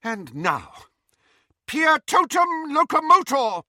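A man voicing a stern, commanding older female professor in an audiobook, brisk and sharp in manner. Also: fairly high pitch, sing-song, no echo, clean studio sound, voice pushed up, exaggerated voice. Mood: rousing command.

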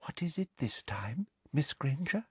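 A man voicing a prim, sugary, vindictive middle-aged female teacher in a smooth, condescending manner for a storybook. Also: mid-range voice, sing-song, unhurried, no room sound, voice pushed up. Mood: icily hushed.

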